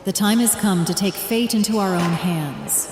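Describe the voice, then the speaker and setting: A woman's voice: mid-range voice, a crowd in the background, natural voice. Woman acting a fervent priestly leader in echoing hall. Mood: rousing, solemn. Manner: solemn, declamatory, deliberate oratory. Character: fervent, devout female religious leader rallying her people. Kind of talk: first-person audio drama narration with speeches and prayer. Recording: echoey hall reverb, ambient noise behind.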